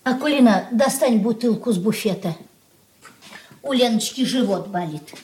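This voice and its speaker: A woman's voice: on the high side, expressive, normal speed, a little mellow, slight background noise, lightly acted. An actress playing an anxious, homely old mother and merchant's wife in a radio drama, coaxing and placating. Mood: caring, fussing. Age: older. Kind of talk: Soviet radio drama acting.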